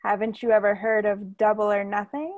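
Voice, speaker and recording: A woman's voice: mid-range voice, flat delivery, brisk, no room sound, highs cut off, lightly acted. A woman at a comedy table read playing a brash, wheedling, scheming ex-convict.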